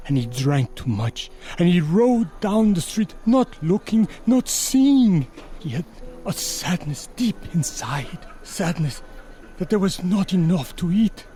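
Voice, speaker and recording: A man's voice: high voice, dark tone, a little hiss or hum. An actor playing a humble, grieving old father in a radio drama, imploring and sorrowful. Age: older. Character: humble, grieving old Mexican father pleading for his son.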